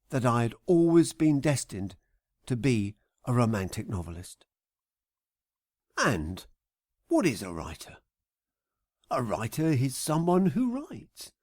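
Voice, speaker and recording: A man's voice: mid-range voice, darkish tone, dry recording, clean studio sound. A man narrating his own comic audiobook in a theatrical, mock-poetic, wry way. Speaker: author reading his own comedy book.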